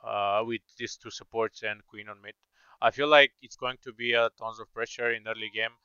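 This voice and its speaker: A man's voice: lowish voice, steady tone, normal speed, bright, forceful tone, clear, resonant tone, no echo. A man with a Bulgarian accent co-casting an esports match, analytical and hesitant with many fillers. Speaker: esports co-caster. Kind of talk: live game commentary. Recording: slightly dull, lo-fi mic.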